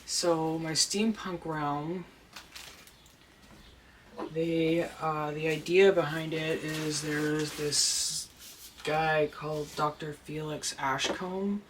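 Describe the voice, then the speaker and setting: A deep-voiced person chatting casually while unboxing a doll at home, rambling and gushing with excitement. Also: extremely slow. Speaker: doll collector and vlogger. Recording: noisy home recording.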